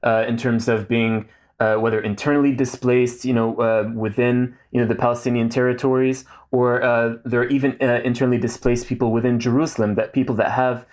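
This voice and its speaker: A man's voice: medium pitch, flat delivery, brisk, darkish tone. A man in his thirties, a guest in a casual interview, speaking thoughtfully with many fillers and restarts. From Saudi Arabia.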